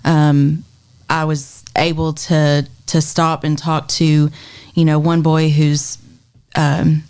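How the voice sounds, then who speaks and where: A mother on a podcast recounting a family moment in relaxed conversation, thoughtful and halting, with many fillers. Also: low-pitched. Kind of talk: casual chat, storytelling.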